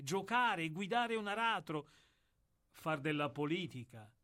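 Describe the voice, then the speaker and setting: An actor in a radio play playing an old, world-weary executioner who speaks in a deliberate, declamatory way with weighted pauses. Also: male voice, sits high, fairly bright tone, full character voice. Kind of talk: dramatic radio play monologue.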